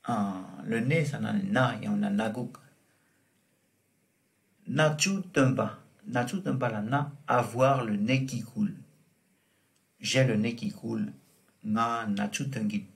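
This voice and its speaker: A man teaches French words at a crawling pace, saying each one twice and spelling out examples. His voice has a gravelly, fry-tinged edge and sits in a middling register. The delivery is patient and deliberate, like a recorded classroom lesson. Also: medium pitch. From Tibet. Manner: slow and deliberate, repeating each word and example. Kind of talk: vocabulary lesson explained in Tibetan.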